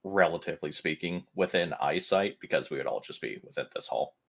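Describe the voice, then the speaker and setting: A man's voice: rumbling low, lively intonation, brisk, vocal fry. A man playing a tabletop roleplaying game, chatting casually in a blunt, wry, sweary way. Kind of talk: casual gaming chat.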